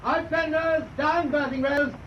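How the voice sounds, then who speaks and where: An actor playing a naval officer bellowing drawn-out orders to a boarding party in a radio drama. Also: male voice, very high-pitched, fairly even intonation. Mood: commanding. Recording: muffled, noisy radio recording.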